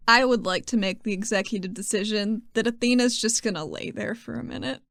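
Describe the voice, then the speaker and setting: A woman's voice: on the high side, brisk, gravelly, no echo, clean studio sound. A woman casually narrating her character's actions as a player in a tabletop roleplaying game.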